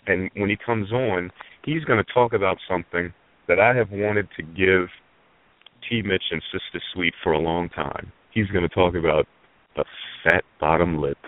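A man with a very low voice hosts a call-in radio talk show, bantering and teasing in a relaxed, joking way. His voice comes through a tinny phone line with no room echo. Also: moderate pace.

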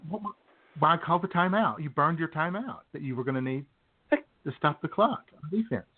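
A man's voice: fairly high pitch, expressive, moderate pace. Man chatting sports over tinny phone-like audio. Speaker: co-host of a comedy radio show. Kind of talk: casual sports chat. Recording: tinny phone-like narrow band.

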